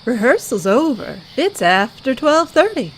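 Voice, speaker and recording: A woman's voice: high-pitched, big pitch swings, a little slow, slightly rough, exaggerated voice. A woman playing a motherly, no-nonsense band director in an audio drama, folksy and reassuring. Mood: caring. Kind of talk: audio drama character acting.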